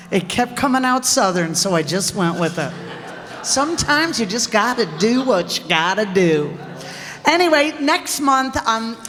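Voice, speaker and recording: Chatty woman in an echoey hall. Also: mid-range voice. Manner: chatty, self-deprecating. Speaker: radio players troupe performer announcing upcoming shows. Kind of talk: informal audience announcement. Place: theater stage before an audience.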